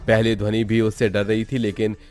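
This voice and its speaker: A man's voice: on the low side, monotone, fairly quick, darkish tone, faint music behind. A man narrating a scripted horror story for a cartoon.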